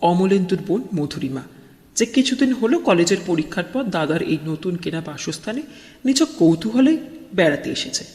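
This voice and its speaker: High-pitched male horror narrator, heavy reverb. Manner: suspenseful, expressive storytelling. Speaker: audio story narrator. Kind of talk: dramatic scripted horror narration. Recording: long cavernous reverb.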